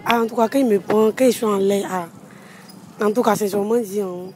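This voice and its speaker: A woman's voice: mid-range voice, steady tone, a little fast, dark tone, slight background noise. A young woman answering a street interviewer's mic outdoors at a market, exclaiming and talking loosely. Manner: giggly, exclaiming, loose talk. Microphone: handheld mic held by interviewer.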